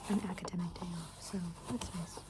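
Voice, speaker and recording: A woman in her twenties whispering casual, meandering ASMR thoughts in a hushed, unvoiced voice. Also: lowish voice, fairly quick. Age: 20s. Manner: casual, meandering, thinking aloud. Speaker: ASMR creator. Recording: clearly noisy background hiss and ambience.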